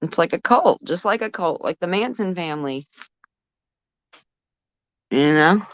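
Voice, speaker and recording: A woman's voice: lowish voice, lively intonation, a little slow, dry recording. A woman chatting casually as a guest on a livestream, heard through dull, lo-fi stream audio.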